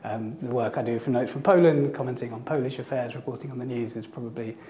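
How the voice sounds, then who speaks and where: Male interview guest, gravelly and hoarse, mellow, measured and hesitant. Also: medium pitch, slight background noise. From England. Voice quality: slightly gravelly. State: hoarse from a lingering virus. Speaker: guest, historian and blog co-editor. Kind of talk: casual interview answers. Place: temporary studio in an office building.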